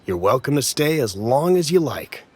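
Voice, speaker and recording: A man playing a gentle, hospitable old man in a video game, polite, kindly and measured in his speech. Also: mid-range voice, sing-song, husky. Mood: kindly, amused.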